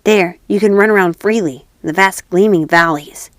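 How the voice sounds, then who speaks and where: A woman's voice: mid-range voice, moderate pace, no echo, studio quality, close to an everyday voice. A woman voicing a reindeer in a storybook, earnest and wistful with pride in its homeland. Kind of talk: storybook character voice.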